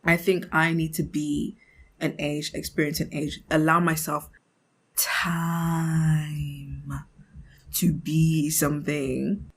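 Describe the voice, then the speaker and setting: A woman's voice: deep voice, flat delivery, slow, breathy edge. A woman in her twenties hosting a casual podcast monologue, chatting expressively and acting out imagined remarks in a giggly, conversational way. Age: 20s. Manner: giggly, often laughing mid-thought. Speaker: podcast host.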